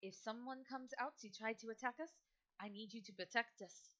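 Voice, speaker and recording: A woman voicing a kindly, protective female adventurer who coaxes and instructs a small child gently. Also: a little high, normal speed, highs cut off, voice pushed up, close to an everyday voice. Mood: coaxing, encouraging.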